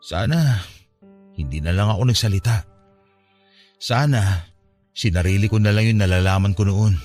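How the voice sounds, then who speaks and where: A man's voice: lowish voice, moderate pace, darkish tone, soft background music, lightly acted. A man playing a grieving, guilt-ridden young man in an emotional, despairing radio drama style. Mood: remorseful. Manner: despairing, emotional, self-reproaching. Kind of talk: Filipino radio drama acting.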